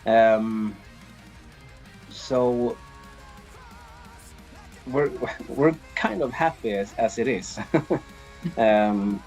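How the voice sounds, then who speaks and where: A man's voice: fairly low pitch, very slow, long pauses, darkish tone, highs cut off, soft background music. A middle-aged man with a Swedish accent, giving hesitant, easygoing answers in a casual interview. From Sweden. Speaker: interviewed band drummer.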